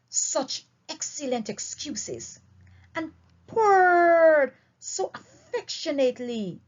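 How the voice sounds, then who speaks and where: A woman reading a novel aloud as an expressive storyteller, with deliberate, emphatic phrasing, on a dull, lo-fi recording. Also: fairly high pitch, slightly rough. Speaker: audiobook reader.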